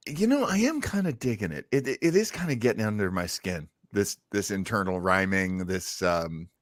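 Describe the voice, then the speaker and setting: A man hosting a podcast, giving casual, halting commentary with repeated words. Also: lowish voice, expressive, no echo, studio quality. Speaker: podcast host.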